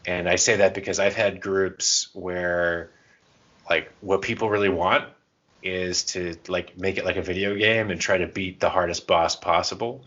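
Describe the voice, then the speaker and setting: A man's voice: low voice, steady tone, moderate pace, fairly bright tone, lo-fi sound. A man co-hosting a podcast in casual chat, with an irreverent, self-deprecating way of talking. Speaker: podcast co-host.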